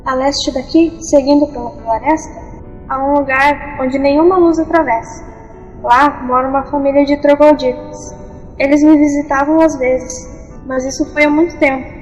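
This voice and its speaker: A woman playing a gentle, wise young enchanted lake maiden in an audio fantasy storybook, speaking tenderly and with measured phrasing. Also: high-pitched, fairly even intonation, lightly acted. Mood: gentle, advising. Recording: dull, long reverb, faint music.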